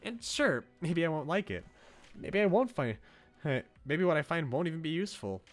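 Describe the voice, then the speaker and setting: A man's voice: a little high, big pitch swings, moderate pace. A man voicing an anxious, frustrated young woman from a visual novel in an earnest, pleading dramatic reading. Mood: agitated. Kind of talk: dramatic reading of game dialogue. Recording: game music behind the voice.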